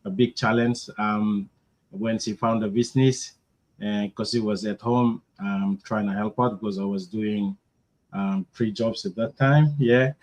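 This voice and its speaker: A man's voice: fairly low pitch, steady tone, unhurried, darkish tone, rough recording. A man from Guinea, a guest answering hesitantly over a video call, with many ums. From Papua New Guinea. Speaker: guest, former electrician. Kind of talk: interview answers.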